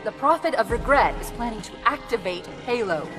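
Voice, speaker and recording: A wry, quick-witted female AI, as an actress plays her, briefs a soldier in a slightly high voice. Heavy orchestral music plays underneath. Game cutscene delivery. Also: expressive, natural voice. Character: witty female AI companion, sharp and wry. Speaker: AI advisor. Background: loud orchestral music.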